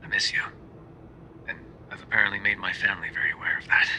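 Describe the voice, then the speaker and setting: A man performs a tender, bookish mafia boyfriend for an ASMR roleplay. His voice is on the low side with a very bright, sharp edge, soft-spoken and often whispered. He talks sheepishly and haltingly, as in a private phone message, over faint background noise. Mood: wistful, tender.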